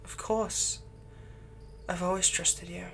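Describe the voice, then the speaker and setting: A man playing a possessive young fantasy villain in an ASMR roleplay, tenderly soothing a captive in a soft voice that often turns breathy. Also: high voice, steady tone, slow pace, slight background noise, heavily acted. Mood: gentle, reassuring. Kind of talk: ASMR roleplay, intimate scripted monologue.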